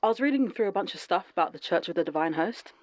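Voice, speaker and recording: An actress playing a brisk, inquisitive researcher in an audio drama. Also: female voice, fairly low pitch, expressive, close to an everyday voice. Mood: intent, curious. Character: brisk, inquisitive woman researcher absorbed in reading.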